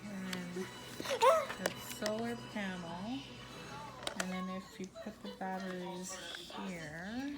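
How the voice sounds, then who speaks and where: A woman's voice: medium pitch, takes it slowly. A woman giving a casual, unscripted demonstration of a product. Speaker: product reviewer. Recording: amateur recording with heavy noise, loud music.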